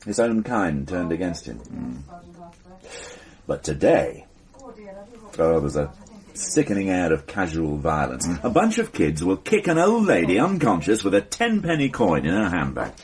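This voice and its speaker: An actor playing a dry, wry middle-aged police inspector in a radio drama, clipped and lightly sarcastic. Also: male voice, lowish voice, sing-song, moderate pace. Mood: reflective.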